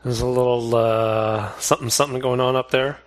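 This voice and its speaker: A man's voice: mid-range voice, flat delivery, fairly quick. A young man co-hosting a podcast, trading dry wisecracks in casual chat. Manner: dry, wisecracking, laughs easily. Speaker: podcast co-host.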